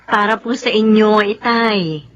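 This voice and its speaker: An actress playing a devoted, earnest Filipino grown daughter in a radio drama, emotional and respectful. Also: female voice, medium pitch, measured pace, muffled recording, lightly acted.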